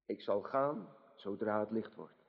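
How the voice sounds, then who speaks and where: An actor playing a bold, chivalrous knight in a radio drama, speaking in a formal, declamatory way. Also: male voice, lowish voice, measured pace, vocal fry. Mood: resolute. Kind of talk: theatrical radio drama acting. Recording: muffled radio play recording.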